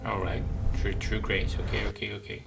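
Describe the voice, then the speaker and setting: A man's voice: lowish voice, level tone, normal speed, creaky. A man giving casual video game commentary, thinking aloud and repeating phrases over game music.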